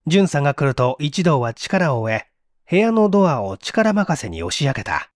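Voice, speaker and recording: A man's voice: fairly high pitch, sing-song, normal speed, no echo, clean studio sound. A man narrating a mystery story from a script in a theatrical, suspenseful manner. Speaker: audiobook narrator, stage actor.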